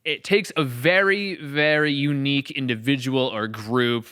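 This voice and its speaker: Clear, bright-voiced American man hosting a podcast. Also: mid-range voice, measured pace. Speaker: podcast host and series creator. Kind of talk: casual reflective podcast conversation.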